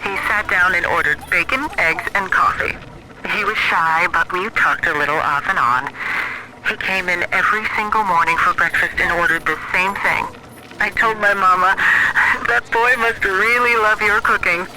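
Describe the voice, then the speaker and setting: A gentle, affectionate wife voiced by a woman reminisces in a game audio diary. She tells her story conversationally and fondly, over clearly audible recording noise. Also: fairly low pitch, normal speed, natural voice. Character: fond, gentle wife reminiscing about courtship.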